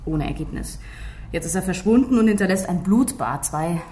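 An actress playing a composed, pragmatic detective in a naturalistic radio crime drama, speaking in a matter-of-fact, explanatory way. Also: female voice, on the low side, animated, moderate pace, mellow, lightly acted. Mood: briefing. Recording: roomy sound with faint noise.

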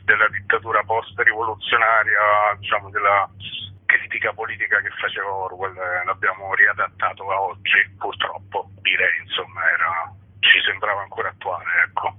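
A man's voice: on the low side, level tone, normal speed, husky, dry recording. A man speaking as an interview guest, a theatre director explaining his work conversationally with many hesitant filler phrases. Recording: tinny phone line.

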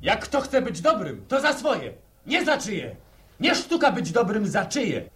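Radio play role: mean, taunting country fellow, high-pitched, wildly varied sarcastic delivery. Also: male voice, wildly swooping pitch, fairly bright tone, soft background music, heavily acted. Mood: scornful. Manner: sneering, drawn-out, sarcastic. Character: stingy, taunting village motorbike owner, cocky. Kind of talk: radio drama acting.

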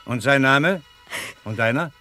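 An actor in a classical radio play as a noble, compassionate army commander, speaking in formal declamation. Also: male voice, medium pitch, unhurried, bright, forceful tone, faint music behind. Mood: gracious.

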